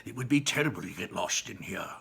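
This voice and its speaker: A man voicing a kindly, protective old grandfather who speaks gently in a storybook reading. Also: fairly low pitch, lively intonation, a little fast, gravelly, a little hiss or hum, full character voice. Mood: worried. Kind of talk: storybook read-aloud.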